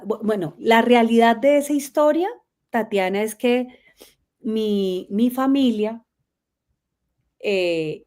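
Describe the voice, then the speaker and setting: Middle-aged woman, Colombian accent, unhurried delivery, energetic and laughing, interview guest. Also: mid-range voice. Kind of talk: conversational interview.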